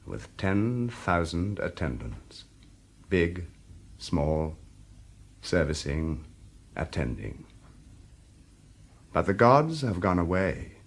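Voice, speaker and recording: A man narrating a scripted story in a measured, theatrical manner, with deliberate pauses. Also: sits low, husky. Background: music.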